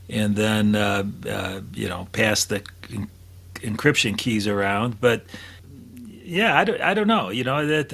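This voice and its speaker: A man's voice: mid-range voice, gravelly, fairly bright tone. A man on a podcast panel, talking casually and thinking aloud as he goes. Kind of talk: casual chat.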